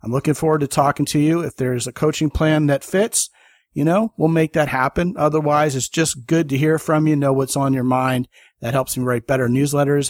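An enthusiastic American man hosts a podcast in a chatty, easy way. His voice sits at a medium pitch. The sound is dry and close, with no room around it. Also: no room sound. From United States (Maryland). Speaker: podcast host. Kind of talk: casual conversation and show sign-off.

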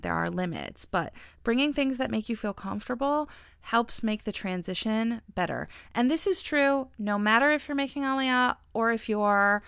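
A middle-aged woman talks through practical tips in a chatty, emphatic way, repeating points for stress and laughing often. Her voice is smooth and resonant at an ordinary pitch. It is recorded close and dry, with no room echo. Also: a bit bright. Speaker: relocation advisor giving tips. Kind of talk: casual advice talk to camera.

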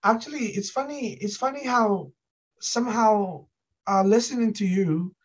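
A man's voice: sits high, unhurried, muffled recording. A man from the Philippines with a Filipino accent, co-hosting a casual podcast chat and talking in a reflective way with lots of fillers. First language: Ilocano. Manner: many fillers, relaxed and reflective. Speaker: podcast co-host.

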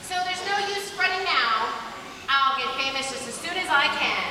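A woman's voice: high-pitched, animated, moderate pace, a crowd in the background. A woman playing a bold, ambitious tomboy teenage sister in an old-time radio drama, theatrical and blunt. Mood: eager, boastful. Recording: very echoey hall, distant mic, noisy.

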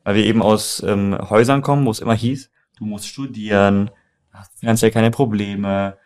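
A man's voice: lowish voice, fairly even intonation, moderate pace, a little mellow, clean tone. A young man from Germany talking casually and emphatically, telling personal stories in a colloquial way and addressing the listener directly. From Germany, born in Iran and raised in Mannheim. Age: young adult. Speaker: co-founder and co-host. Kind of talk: casual conversational storytelling.

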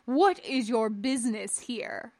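An imperious, scornful Jedi master voiced by a woman for a storybook reading. He speaks slowly and formally, pronouncing each judgment as a stern lecture. The sound is close and dry. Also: fairly high pitch, darkish tone, dry recording. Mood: stern, commanding. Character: tall imperious old Jedi master, scornful, pompous man.

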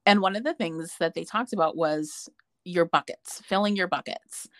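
Chatty lady, lowish voice, dry close sound, lots of um and like. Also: moderate pace, no echo. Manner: chatty storyteller, lots of fillers and restarts. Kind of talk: casual chat.

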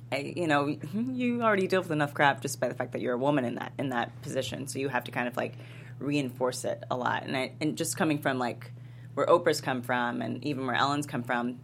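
A woman from Texas with an exceptionally low voice chats casually as a podcast panelist. There is faint noise behind her voice. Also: fairly quick. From Texas, United States. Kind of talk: casual chat.

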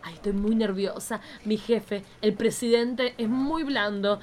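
A woman's voice: mid-range voice, fairly even intonation, measured pace, a little mellow, natural voice. A woman playing a nervous, flirtatious female diplomat in a campy radio play, with gushing, giggly exclamations.

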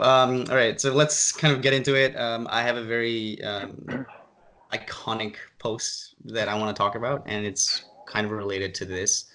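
A man hosting a casual chat podcast with a lowish voice. He explains at length, full of fillers, with an exasperated edge. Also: fairly bright tone. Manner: rambling, exasperated. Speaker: podcast host.

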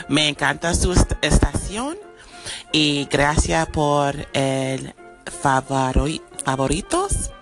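A woman's voice: low voice, lively intonation, drawn-out words, vocal fry, soft background music. A woman from Panama whose first language is English, speaking Spanish with an American English accent and leaving a friendly message. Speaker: caller.

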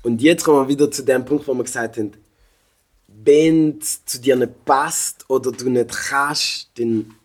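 A young man from Switzerland with a Swiss German accent, a rapper guest who talks in a relaxed way and drops English phrases into his German. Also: medium pitch, measured pace. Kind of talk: casual interview chat.

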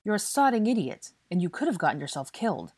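A woman's voice: mid-range voice, very animated, fast talker, darkish tone, slightly rough, no room sound, pitched above the performer's own voice. A woman voicing a bitter, angry young man who scolds heatedly in an audiobook fantasy story. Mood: disgusted, bitter. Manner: heated, scolding, British swearing. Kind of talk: audiobook character dialogue.

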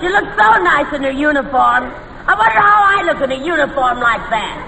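An actress playing a brash, scatterbrained comic woman panelist in a 1940s radio comedy, with a shrill, creaky voice. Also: expressive, brisk, pushed and bright, full character voice. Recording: very noisy old radio recording.

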